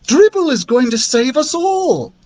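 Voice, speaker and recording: A man voicing a bossy, excitable young princess in a storybook, commanding and emphatic. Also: sits high, a little slow, breathy edge, voice pushed up, exaggerated voice. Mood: excited.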